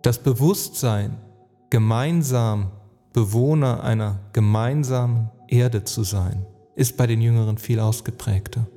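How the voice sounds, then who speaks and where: Man, very mellow dark voice, long hall reverb, slow formal academic narration, music underneath. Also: medium pitch. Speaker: narrator giving an essay on climate. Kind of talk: measured scripted monologue in an audio play.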